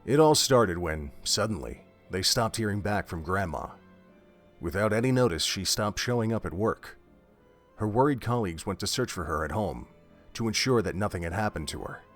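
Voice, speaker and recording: Deep-voiced male story narrator. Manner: measured, steady storytelling. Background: faint music.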